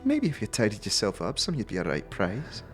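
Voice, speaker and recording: A man's voice: lowish voice, wildly swooping pitch, a little fast, soft background music. Man voicing a teasing British tiefling girl, slangy. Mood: teasing, bold.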